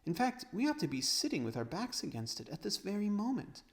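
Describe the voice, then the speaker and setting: Man voicing an earnest young girl, pitched high. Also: very animated, fairly quick.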